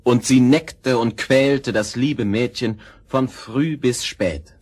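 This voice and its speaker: A man narrating a scripted fairy tale in a husky voice, measured and expressive. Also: medium pitch.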